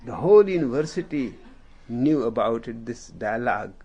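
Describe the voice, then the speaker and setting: A man with an Indian accent, a native Hindi speaker, telling a story as a spiritual teacher in a deliberate, unhurried way with long pauses. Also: medium pitch, lively intonation, warm tone. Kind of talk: slow storytelling discourse.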